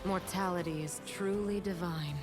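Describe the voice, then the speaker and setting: A woman's voice: on the low side, fairly even intonation, normal speed, natural voice. A woman playing a bitter, brooding fallen celestial of darkness in a video game, speaking with dry scorn and an ominous manner. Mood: dark menace. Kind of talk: video game character voice lines. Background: music.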